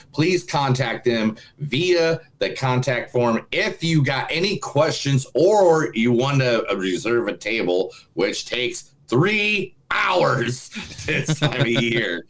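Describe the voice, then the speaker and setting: A man's voice: mid-range voice, sing-song, takes it slowly, fairly bright tone. A man co-hosting a movie podcast, chatting loosely and reading a sponsor ad in a laid-back, rambling way. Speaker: podcast co-host. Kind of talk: casual chat and read sponsor ad.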